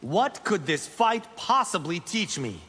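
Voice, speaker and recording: An actor playing a cocky, brash young martial-arts monk trading boastful, teasing banter in a video game. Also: male voice, high-pitched, big pitch swings, measured pace, sharp tone, slightly rough, exaggerated voice. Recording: reverberant game audio with background noise.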